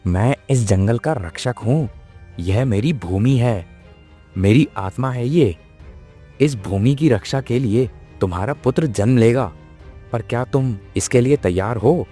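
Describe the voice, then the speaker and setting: Man as a dark-voiced divine monkey guardian. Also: mid-range voice, expressive, soft background music. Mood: grave. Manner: solemn, measured, oracular. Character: divine monkey guardian of the forest, solemn and benevolent. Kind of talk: mythical cartoon story acting.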